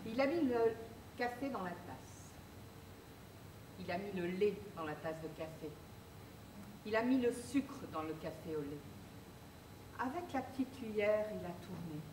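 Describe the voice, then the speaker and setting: An actress playing a forlorn, abandoned woman, reciting each action in a slow, flat, listing way on a stage. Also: medium pitch, noisy background, close to an everyday voice. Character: forlorn, abandoned woman recalling her loss. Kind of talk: stage monologue. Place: theatre stage in spotlight. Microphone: far room mic. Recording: echoey stage from far off.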